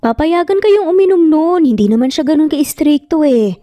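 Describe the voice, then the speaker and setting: A woman's voice: high-pitched, expressive, normal speed, mellow, dry recording, soft background music, pitched above the performer's own voice. A woman voicing a reserved, polite but firm young female employee in a Tagalog audio story, speaking in a formal, restrained way. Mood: defensive.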